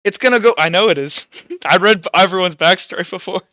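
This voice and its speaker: A man's voice: sits high, brisk, pushed and bright, no room sound, dull audio. A man improvising narration as game master of a tabletop game, casually thinking aloud as he tallies dice rolls.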